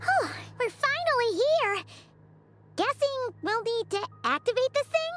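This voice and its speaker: A woman voicing a small, cheerful fairy companion in a video game, with a very high, squeaky voice and game music under it. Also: sing-song, unhurried, heavily acted. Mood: eager.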